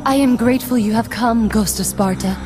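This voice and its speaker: An actress playing a goddess of the dawn who pleads earnestly and urgently in a game cutscene. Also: female voice, a little high, fairly quick, music in the background, natural voice. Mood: pleading.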